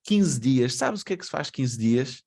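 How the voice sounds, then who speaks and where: A man's voice: medium pitch, lively intonation, normal speed, creaky, a little mellow. A man with a European Portuguese accent, born in Brazil, giving a webinar talk in a conversational way and asking rhetorical questions. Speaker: webinar speaker. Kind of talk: presentation to an online audience.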